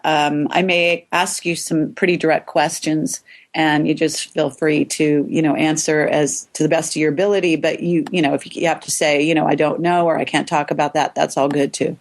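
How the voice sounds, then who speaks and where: A woman with a very low voice, hoarse from a cold. She hosts an interview in a loose, conversational way and stumbles over the first words of her sentences. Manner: hesitant, repeats words while starting sentences. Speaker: interview host.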